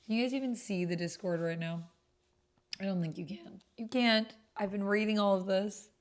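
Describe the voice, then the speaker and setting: A woman's voice: mid-range voice, moderate pace. A woman hosting a livestream, reading text aloud and breaking into casual, chatty asides. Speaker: livestream host and tabletop roleplay player. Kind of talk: casual livestream commentary mixed with reading chat messages aloud.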